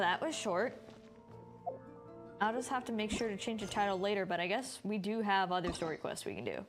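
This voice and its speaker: A woman giving casual game livestream commentary, informal and thinking aloud. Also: mid-range voice. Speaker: game streamer. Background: music.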